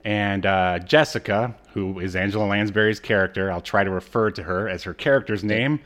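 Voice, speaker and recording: Male podcast co-host, lower-pitched voice, playful and conversational. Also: fairly quick, a bit bright. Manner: playful, joking. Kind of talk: casual pop-culture podcast chat.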